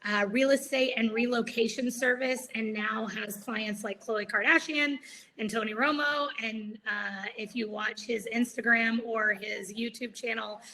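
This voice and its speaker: A woman's voice: fairly high pitch, steady tone, a little slow, sharp tone, poor audio. A woman moderating an online video-call panel, chatty, with many filler words.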